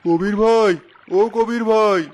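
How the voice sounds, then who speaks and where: A man's voice: sits high, steady tone, takes it slowly, poor audio. A man playing a hesitant, stubborn village husband and father in a cartoon. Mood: doubtful, curious. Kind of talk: cartoon dialogue.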